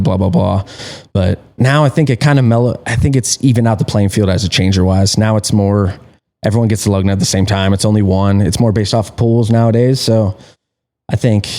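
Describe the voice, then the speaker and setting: A man's voice: lowish voice, darkish tone. A man in his early thirties, a pit crew tire changer, answering questions in an easygoing, laid-back way. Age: 30s. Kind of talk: casual interview.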